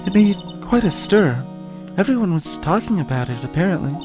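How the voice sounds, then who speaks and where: A man voicing a soft-spoken, sorrowful young woman who is a spirit medium in a video game, speaking haltingly and earnestly. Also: high voice, sing-song, voice pushed up. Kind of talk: voiced video game dialogue. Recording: music behind the voice.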